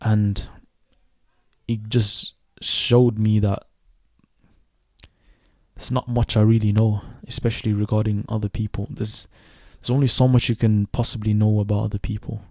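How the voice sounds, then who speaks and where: A young man in his twenties who grew up in Sweden, hosting a reflective solo podcast and speaking thoughtfully, with pauses and self-corrections. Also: on the low side, little pitch movement, a little mellow, dry recording, clean studio sound. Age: 20s. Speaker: podcast host.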